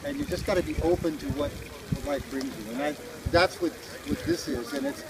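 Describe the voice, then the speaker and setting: Rambling middle-aged man, loud background noise. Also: fairly high pitch, big pitch swings, a little fast. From Hawaii. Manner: rambling, philosophical. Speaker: street musician being interviewed. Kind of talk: casual reflective chat. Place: outdoors by a beach park. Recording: loud outdoor background noise with vehicle sounds.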